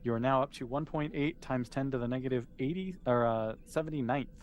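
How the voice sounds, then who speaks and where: A man's voice: medium pitch, a little fast. A man, improvising casually and encouragingly as game master of a tabletop role-playing game.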